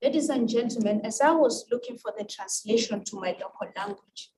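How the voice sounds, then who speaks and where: A woman with a Kenyan accent and Luo as her first language gives a formal, measured prepared speech with emphatic phrasing. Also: a little high, expressive, a little mellow. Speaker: guest speaker at an international women's event. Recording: distant mic with clear background noise.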